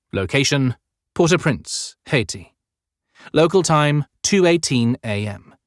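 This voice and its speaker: A man's voice: medium pitch, no room sound, studio quality. A man narrating scripted fiction as an audiobook storyteller.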